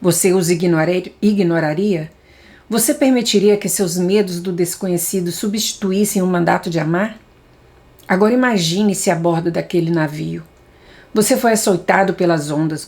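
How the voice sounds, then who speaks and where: Brazilian woman reading aloud, lowish voice. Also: normal speed, a little mellow. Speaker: audiobook reader.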